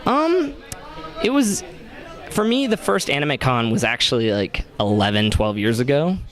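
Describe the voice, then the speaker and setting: A man's voice: mid-range voice, big pitch swings, a little slow, a bit bright, a faint crowd. Young man chatting casually in an interview. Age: young adult. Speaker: convention DJ and interview guest.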